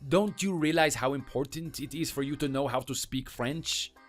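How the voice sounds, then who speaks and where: A man's voice: medium pitch, moderate pace, faint music behind, lightly acted. Man voicing a sneering French mechanic. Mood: scornful. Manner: taunting, contemptuous.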